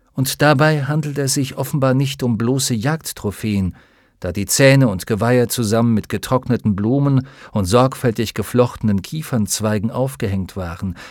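A man reading an adventure audiobook aloud in a steady, even storytelling manner. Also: mid-range voice, no room sound. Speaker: audiobook narrator. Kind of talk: scripted narration. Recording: dry close studio sound.

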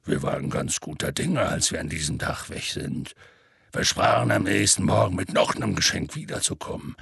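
Storybook half-giant, big and rough, very husky and close-dry, weary, homespun, matter-of-fact storyteller. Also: male voice, low-pitched, expressive, moderate pace, fairly bright tone, dry recording, full character voice. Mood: rueful. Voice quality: husky, gravelly. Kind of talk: audiobook character dialogue.

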